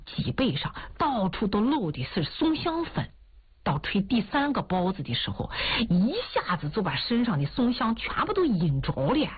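A woman's voice: medium pitch, slightly rough, exaggerated voice. A woman voicing a blunt old male opera teacher who scolds and retorts. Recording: tinny phone-like sound, faint music.

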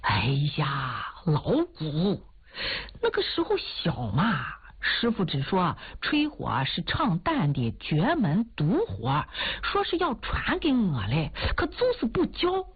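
A woman's voice: lowish voice, huge pitch swings, slow pace, slightly rough, full character voice. A woman voicing a blunt old male opera teacher who scolds and retorts. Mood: recounting. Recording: tinny phone-like sound, faint music.

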